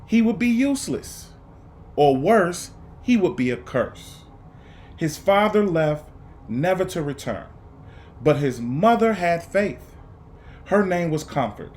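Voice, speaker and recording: A man reading a children's picture book aloud, phrasing it dramatically and deliberately with emphatic pauses. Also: fairly high pitch, a little mellow, a little hiss or hum. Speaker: storybook reader.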